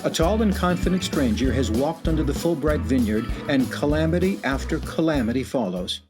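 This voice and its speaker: A man's voice: on the high side, animated, a little fast, over music, close to an everyday voice. A man playing an arch, suspense-teasing narrator of a radio drama with theatrical delivery. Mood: ominous, arch.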